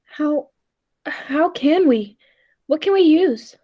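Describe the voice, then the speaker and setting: A woman's voice: sits high, expressive, slow pace, lo-fi sound. A woman playing a time traveler's uneasy, doubtful companion in a 1940s-style radio play, earnest and hesitant. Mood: despairing.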